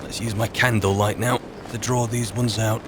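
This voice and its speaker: Man, low voice, in-character game roleplay, wary adventurer, musing in short phrases, clear background noise. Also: steady tone, moderate pace. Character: Merlin, a wary, disciplined adventuring wizard.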